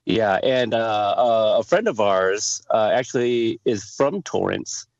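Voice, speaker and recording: A man hosting a podcast interview, whose first language was Lao, chatting in a friendly, animated way. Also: on the low side, slow pace, no room sound. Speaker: podcast host. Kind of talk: casual interview chat. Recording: dry, dull lo-fi sound.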